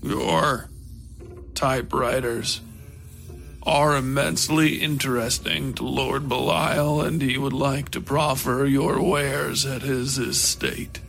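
A man's voice: medium pitch, steady tone, slow pace, vocal fry, a bit bright. A man voicing a gaunt, eerie servant of a lord in a horror story, formal and detached, tumbling through his syllables.

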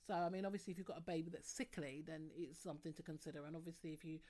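A woman's voice: low voice, steady tone, very fast, dark tone. A woman co-hosting a podcast, talking frankly and bluntly in casual chat. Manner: frank, outspoken, laughs easily. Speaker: podcast co-host.

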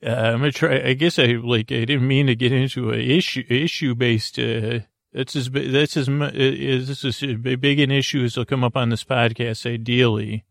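A man hosting a bedtime podcast, rambling softly off on tangents in lulling, creaky, dulcet tones. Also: mid-range voice, steady tone, moderate pace, few pauses, dry recording, clean studio sound. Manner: meandering, tangent-prone, self-interrupting, drowsy and soft. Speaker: podcast host.

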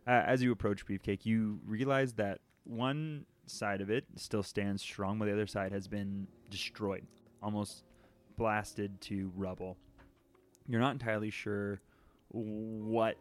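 A man acting as game master for a tabletop game, relaxed and joking, laughing often. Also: on the low side, a little slow.

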